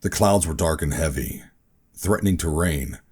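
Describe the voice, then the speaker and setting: A man playing a weary rogue operative, gruff but tender, narrating a sci-fi audio drama in a brooding, deliberate way. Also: rumbling low, a little mellow. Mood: brooding, resolute. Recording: music bed under the voice. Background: dramatic music.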